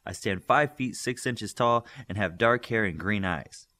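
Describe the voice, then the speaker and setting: A man's voice: lowish voice, unhurried, sharp tone. A man voicing a self-assured teenage Brazilian girl in a storybook, direct and poised. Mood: confident.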